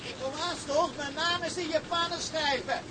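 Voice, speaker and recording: A high male voice from some way off, amid clear noise and other voices. a rough rival seaman in a radio play, heckling and calling out. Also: moderate pace, crowd noise. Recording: far off amid noisy harbour voices.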